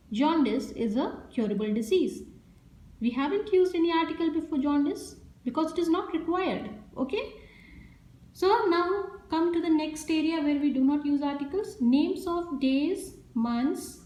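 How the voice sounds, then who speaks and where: A woman's voice: high voice, warm tone. A woman with an Indian English accent teaching a grammar lesson, explaining step by step and repeating each example sentence. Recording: echoey room sound.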